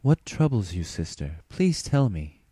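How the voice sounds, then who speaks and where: A man voicing a gentle, caring older sister, a royal mare in a storybook reading, soft and reassuring. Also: sits low, very animated, measured pace, slightly rough, no echo, studio quality, exaggerated voice. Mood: gentle concern.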